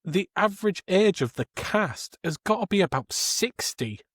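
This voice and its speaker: A man's voice: high-pitched, sing-song, moderate pace, fairly bright tone, no room sound, studio quality. A man co-hosting a podcast, giving his opinions on films in casual chat. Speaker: podcast co-host. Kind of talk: casual film review chat.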